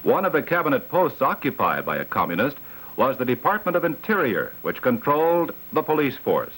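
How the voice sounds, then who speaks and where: A middle-aged American man narrating a scripted 1960s propaganda documentary in a grave, authoritative, emphatic manner with deliberate phrasing. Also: mid-range voice, wildly swooping pitch, a bit bright, slightly rough, rough recording.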